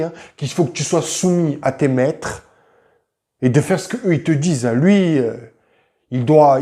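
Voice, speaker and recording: A man's voice: a little high, mellow. A man giving informal, argumentative commentary, confronting the listener directly and repeating himself for emphasis. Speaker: YouTube commentator.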